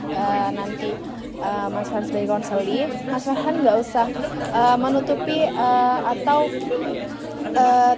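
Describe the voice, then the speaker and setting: A young woman speaking as a student counselor, politely and reassuringly asking questions in a counseling session. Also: on the high side. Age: young adult. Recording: busy public hall, loud crowd chatter.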